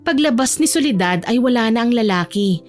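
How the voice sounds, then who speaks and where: High-voiced female storyteller. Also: animated, a little fast, a little mellow. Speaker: narrator. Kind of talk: scripted romance audio-drama narration. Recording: music bed behind the voice.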